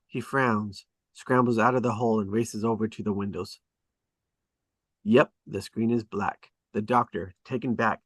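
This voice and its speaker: A man with a fairly low voice narrates screenplay directions in short, clipped, dramatic phrases. The sound is dry, with little room around it. He reads as a storyteller setting out each piece of action. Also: a bit bright, breathy edge, dry recording. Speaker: script narrator at a table read. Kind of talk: scripted narration, reading stage directions.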